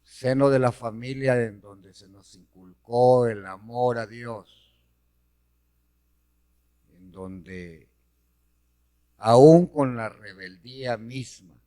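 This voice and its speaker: A middle-aged man from Honduras, giving an earnest personal testimony of faith, slow and deliberate. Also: mid-range voice, warm tone, clean tone.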